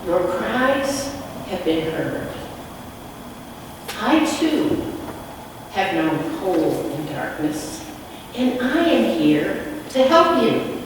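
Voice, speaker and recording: A woman doing a soft-spoken calm stranger. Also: mid-range voice, drawn-out words, pauses often, background noise. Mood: soothing. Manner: soft, soothing, reassuring. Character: calm gentle male stranger in a robe. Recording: echoey hall from far off.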